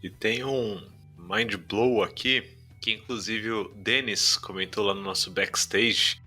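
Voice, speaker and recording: A man's voice: on the low side, slow, sharp tone. A man with a Brazilian accent hosting a podcast in an informal, slangy, chatty way. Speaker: podcast host. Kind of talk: casual chat. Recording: music behind the voice.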